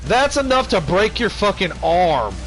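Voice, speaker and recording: A high-voiced man talks eagerly about a game, building up each story with teasing questions. His tone is casual and keen. Faint music plays under him. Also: big pitch swings, unhurried, a bit bright, rough recording. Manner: eager storyteller, keeps asking "you wanna know". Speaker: gamer chatting on a livestream. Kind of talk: casual chat.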